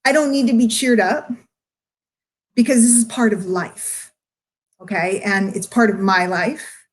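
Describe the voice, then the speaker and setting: A middle-aged woman speaking emotionally and candidly to her online followers, confiding and emphatic, with drawn-out words and pauses. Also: medium pitch, fairly even intonation. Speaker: online marketer addressing followers. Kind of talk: candid livestream monologue to followers.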